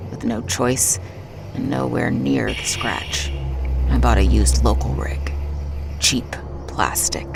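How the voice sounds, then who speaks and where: An actress playing a world-weary exiled ex-cop in noir audio drama narration, brooding, wry and terse. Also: female voice, lively intonation, slow pace, creaky, exaggerated voice. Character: world-weary exiled woman, ex-cop and ex-boxer, hard-boiled. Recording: loud music and engine rumble behind.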